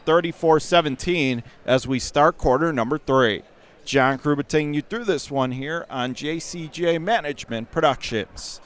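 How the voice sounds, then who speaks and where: Male sports announcer, higher-pitched voice, basketball play-by-play in clipped, excitable phrases. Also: a little hiss or hum. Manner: clipped phrases that rise to excited calls on big plays. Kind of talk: basketball radio play-by-play commentary. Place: high school gym during a game.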